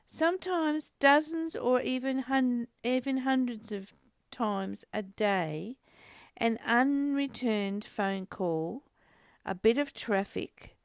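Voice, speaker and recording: A woman reading aloud and explaining a spiritual text as the host of a study group, in a deliberate, informal teaching way. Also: on the high side, clean tone, no room sound.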